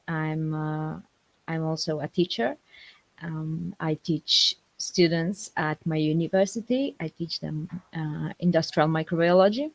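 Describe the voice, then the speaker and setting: A young woman from Poland speaking English with a Polish accent in a casual interview, pausing often with fillers. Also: on the low side, vocal fry, dry recording. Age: young adult. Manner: hesitant, many fillers, laughs between answers. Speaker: guest scientist.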